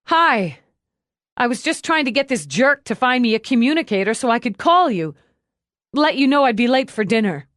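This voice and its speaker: A woman's voice: on the high side, very animated, normal speed, a bit bright, no room sound, studio quality, pitched above the performer's own voice. A woman voicing a tough, foul-mouthed policewoman who is brash and blurting, in an audiobook drama. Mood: irritated, brash. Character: tough, foul-mouthed policewoman turned giddy and goofy by painkillers.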